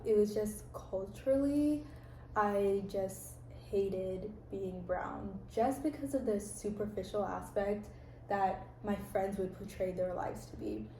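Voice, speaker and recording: A woman's voice: mid-range voice, dark tone, reverberant, a little hiss or hum, rough recording. A young American woman hosting a casual chat, telling stories about her life in an earnest, reflective way. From United States. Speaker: host.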